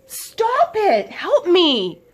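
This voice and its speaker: A woman voicing a determined, frightened young girl hockey player, calling out in urgent, commanding shouts for a storybook. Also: extremely high pitch, huge pitch swings, extremely slow, pitched above the performer's own voice, full character voice. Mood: angry, urgent.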